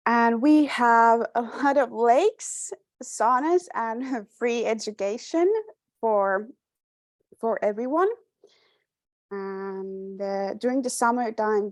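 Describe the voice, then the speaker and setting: A young woman with a Finnish accent talks through her slides at an unhurried pace, stopping often for fillers and restarting her sentences. Her voice sits at an ordinary pitch for a woman. The sound is dry, with no room echo. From Finland. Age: 20s. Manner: hesitant, many fillers and restarts, light laughter. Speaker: presenter. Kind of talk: informal slide presentation.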